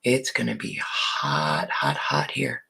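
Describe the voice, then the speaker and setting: A hoarse woman who has partly lost her voice, chatting casually on a live stream and greeting viewers by name. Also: a bass voice, expressive, slow, bright, forceful tone. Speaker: online shop owner hosting a live video.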